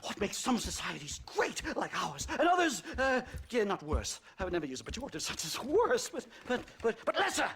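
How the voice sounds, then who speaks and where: High-pitched, stammering eccentric professor. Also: male voice, huge pitch swings, brisk, few pauses, fairly bright tone, exaggerated voice. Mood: agitated, fervent. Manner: stammering, pompous, flighty, condescending. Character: eccentric pompous academic professor, excitable and twitchy. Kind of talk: theatrical video game acting.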